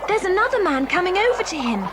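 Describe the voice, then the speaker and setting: Fretful, questioning young girl in audio adventure; extremely high voice with animated swings. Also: fast, loud music behind the voice. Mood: anxious, curious. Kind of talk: dramatised children's adventure audiobook.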